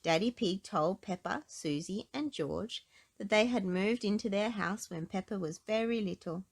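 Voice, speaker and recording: A woman reading a storybook aloud to children in an expressive narrating style. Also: mid-range voice, normal speed, vocal fry. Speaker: storybook reader.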